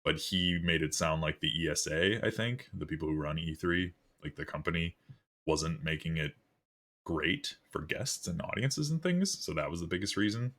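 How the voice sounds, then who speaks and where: A deep-voiced young man co-hosting a casual chat about video game news, laid-back and swearing often. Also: moderate pace, a bit bright. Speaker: podcast co-host.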